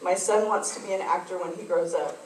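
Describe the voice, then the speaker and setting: Middle-aged mom reading a proud speech, echoey gym, faint hum behind. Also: female voice, fairly low pitch, steady tone. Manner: proud, measured, reads a list of praise. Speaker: parent giving a speech. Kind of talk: prepared speech at a school assembly. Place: large school assembly hall. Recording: echoey gym recorded from far off.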